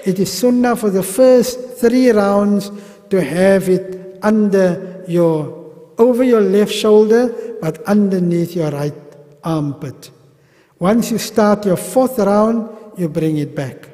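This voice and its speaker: A middle-aged man with a South African accent teaches a religious class in a large mosque hall, speaking slowly into a podium mic. His voice sits high but mellow, and the long reverb of the hall trails behind each phrase. He is animated, gesturing widely and slipping in humorous asides. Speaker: sheikh teaching a pilgrimage class. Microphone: gooseneck podium mic.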